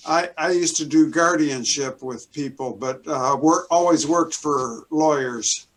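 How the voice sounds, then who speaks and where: A retired social worker chatting easily on a video call. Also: male voice, a little high, a little slow, a bit bright, rough recording. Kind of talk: casual video call chat.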